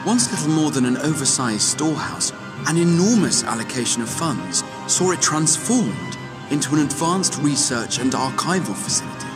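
An actor playing a scholarly young male investigator, formal and deliberate, in video game dialogue. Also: fairly high pitch, big pitch swings, music in the background. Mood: measured, probing. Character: scholarly, measured young male catfolk investigator addressing a council.

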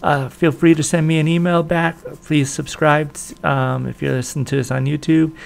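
A man's voice: a little high, flat delivery, moderate pace, gravelly. A man in his forties casually hosting a video introduction to old-time radio shows.

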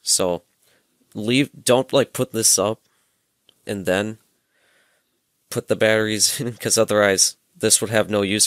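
Young man, low-pitched, informal step-by-step explainer, dry indoor headset sound. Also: fairly bright tone, clear, resonant tone, no room sound. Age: young adult. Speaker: presenter of a gadget tutorial. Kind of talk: casual hands-on demonstration. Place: small room at home, indoors. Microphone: headset microphone.

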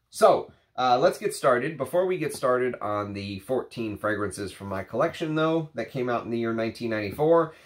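A man in his thirties, congested from a cold and a little nasal, talking casually to camera in a rambling way with lots of fillers. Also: lowish voice. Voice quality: slightly nasal. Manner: chatty, rambling, frequent fillers. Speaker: perfume reviewer. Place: home room with shelves of perfume bottles.

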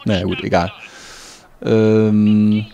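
Guy doing a lets play, lowish gravelly voice, offhand, just thinking out loud. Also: level tone, takes it slowly, lo-fi sound. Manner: offhand, thinking aloud, colloquial. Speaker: let's play host. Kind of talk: casual gameplay commentary.

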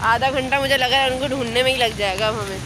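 A young woman talks into a handheld phone, chatting through a casual vlog in a slightly high voice. Loud traffic and street noise fill the background. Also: fast talker. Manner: chatty, running commentary to camera. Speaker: vlogger. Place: outdoors at a busy market street and in a car, then indoors at home. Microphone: handheld phone camera.

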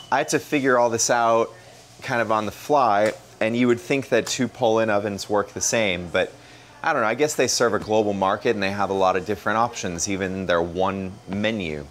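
A man in his thirties with an American accent, explaining in a relaxed way and giving a casual tour of a small bakery kitchen. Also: fairly low pitch, a little hiss or hum. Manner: explanatory, relaxed, many "uh" fillers. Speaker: bakery owner.

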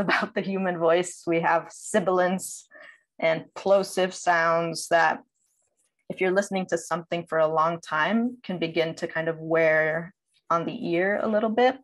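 A woman giving a conversational, explanatory presentation over a video call, as an audio producer teaching a process step by step. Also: on the low side. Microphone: video call microphone.

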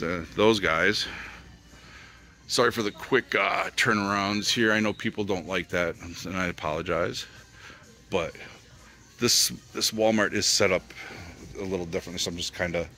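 This is a man with a fairly low voice that still cuts through brightly. He chats casually while browsing toy shelves, rambling and naming items as he spots them, excited by each find. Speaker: toy collecting vlogger. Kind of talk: casual store walkthrough commentary. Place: retail store aisles.

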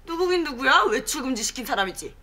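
A bratty sitcom daughter in her twenties, with a highish, bright voice. She whines and snaps in animated swoops. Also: quick pace, full character voice. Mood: defiant. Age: 20s. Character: defiant, grumbling grown daughter, sulky and bratty. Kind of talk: sitcom acting.

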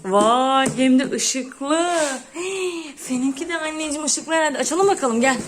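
A woman's voice: sits high, a little slow. A woman speaking casually to young children as their mother, in an encouraging, praising way. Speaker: mother filming her children at home. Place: indoors at home. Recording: noisy home video with clicks.

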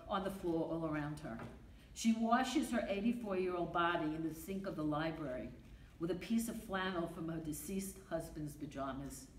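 Older woman, strong Brooklyn accent, rhythmic poetry reader, lowish voice, roomy hall sound with faint noise. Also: a little mellow. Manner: emphatic, rhythmic, repeating refrains. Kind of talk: reading a poem aloud. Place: library meeting room. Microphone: podium mic from a far camera. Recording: echoey room with faint noise.

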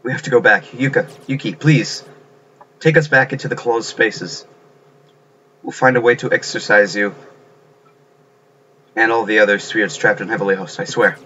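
Guy doing a shaken serious schoolgirl from a horror game, grave and hushed, slightly noisy audio. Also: lowish voice, moderate pace, long pauses, dull audio. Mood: urgent, pleading.

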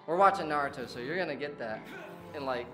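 A woman's voice: very deep voice, quick pace. A woman hosting a reaction video with casual, playful, slangy commentary full of exclamations. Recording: echoey room, loud music behind.

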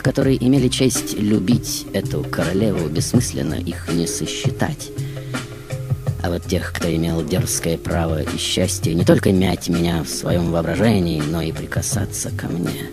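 Guy doing a glam movie diva telling old love stories radio show style, low voice, arch n theatrical, music behind. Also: lively intonation, moderate pace. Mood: grand, confiding. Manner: theatrical, arch, swooping between hushed and loud. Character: glamorous aging female film star recalling lovers, theatrical, boastful. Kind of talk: dramatic first-person radio monologue.

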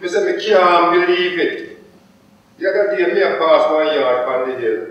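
A man's voice: a little high, fairly even intonation, moderate pace, fairly bright tone, voice pushed up, close to an everyday voice. A man reciting performance poetry as an anguished, incredulous poor Jamaican, rhythmic and dramatic, in a Jamaican accent. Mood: incredulous, lamenting. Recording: very echoey hall, distant muffled mic.

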